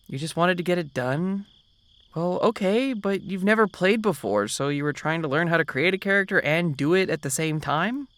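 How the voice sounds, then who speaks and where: A young man with a fairly high voice talks softly and reassuringly, as if sitting right beside the listener. The sound is dry and close, with no room around it, in the easy manner of an ASMR roleplay. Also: no echo. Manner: gentle, reassuring, soft-spoken. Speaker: ASMR roleplay creator as boyfriend.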